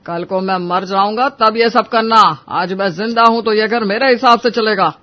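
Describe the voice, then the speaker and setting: A man's voice: high voice, fairly even intonation, moderate pace, pushed and bright. A man playing a stern, domineering old father-in-law in a story drama, sarcastic and scolding. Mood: sarcastic, offended. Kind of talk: Hindi moral-story audio drama.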